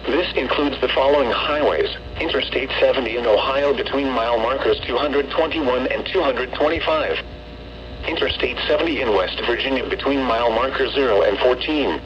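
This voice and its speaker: Automated male announcer, mid-pitched text-to-speech, formal and measured, reading storm warnings over dull, faintly noisy radio. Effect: weather radio broadcast. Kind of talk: scripted severe weather warning bulletin. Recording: muffled lo-fi radio with hum, noise.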